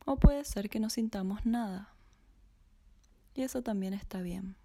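Soft-spoken woman guiding a meditation. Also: medium pitch, slight background noise. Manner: slow, soft, half-whispered guidance. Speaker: meditation guide. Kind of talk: guided body scan meditation.

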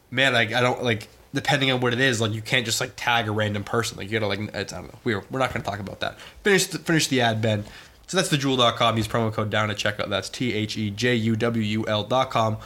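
A man's voice: mid-range voice, fast talker, pushed and bright. A young man co-hosting a podcast, stuffed up with a cold, joking and playfully reading ad copy. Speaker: podcast co-host. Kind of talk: casual podcast chat.

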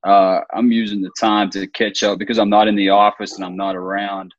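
A man's voice: fairly low pitch, flat delivery, fairly quick, no echo, muffled recording. A man, a basketball coach talking steadily in an interview and repeating words for emphasis. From Australia. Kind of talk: casual interview talk.

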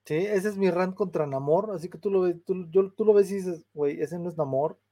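A man's voice: high-pitched, brisk, warm tone. A young Mexican man with a Mexican Spanish accent, ranting in casual, slang-heavy podcast chat and swearing freely. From Mexico, San Luis Potosí. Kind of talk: casual chat, film rant.

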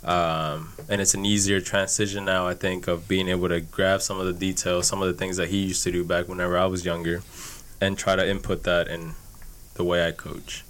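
A man's voice: deep voice, flat delivery, fairly quick, fairly bright tone. A young man from Houston answering casually as an interview guest, in a reflective, informal way. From Houston, Texas. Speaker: youth soccer coach, podcast guest.

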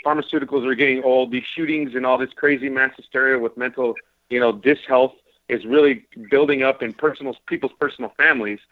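A man's voice: mid-range voice, fairly even intonation, fairly quick, dry recording. A man hosting a casual radio talk show, talking in earnest, rambling runs. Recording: tinny phone-like radio audio, dry.

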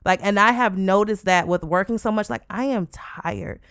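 A woman's voice: mid-range voice, moderate pace, a bit bright, clean tone, no room sound, clean studio sound. A woman in her late twenties co-hosting a podcast, talking casually and bluntly with frequent swearing. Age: 20s. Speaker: podcast co-host. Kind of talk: casual chat.

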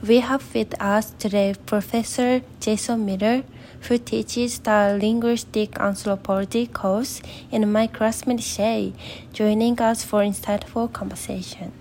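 A woman whose first language is Japanese hosts a student podcast. Her voice stays flat and even in pitch. She phrases her questions carefully. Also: measured pace, a little hiss or hum. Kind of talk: podcast interview.

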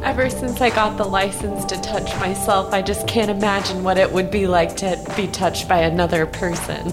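A woman's voice: mid-range voice, steady tone, normal speed, over loud music, close to an everyday voice. A woman playing an eager, naive rule-follower as a podcast guest, rambling earnestly in improvised comedy. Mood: eager, hopeful.

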